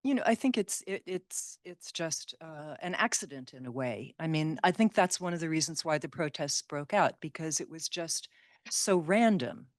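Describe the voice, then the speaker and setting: A woman speaking as a panelist in a discussion, thoughtful and animated. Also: low-pitched, moderate pace, no room sound, clean studio sound.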